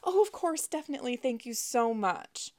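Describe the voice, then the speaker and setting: A woman's voice: on the high side, lively intonation, moderate pace, darkish tone, dry recording, clean studio sound, pitched above the performer's own voice, lightly acted. A woman narrator voicing a young woman who fakes a gushing, pleading panic on a call.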